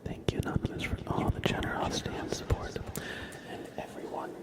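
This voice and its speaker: A man hosting a live ASMR stream, speaking in a breathy, hushed whisper with repeated, drawn-out words. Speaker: ASMR livestream host. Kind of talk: live ASMR, thanking viewers and chatting.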